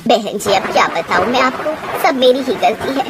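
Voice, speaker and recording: A woman's voice: fairly high pitch, moderate pace, very noisy, natural voice. A woman voicing a worried, apologetic older mother-in-law in a cartoon moral story. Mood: worried, regretful.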